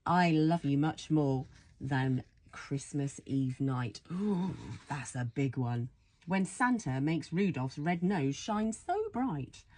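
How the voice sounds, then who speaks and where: A woman reads a children's bedtime story aloud in a soft, husky voice, affectionate and playful, full of wonder. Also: deep voice, lively intonation, takes it slowly. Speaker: storybook reader.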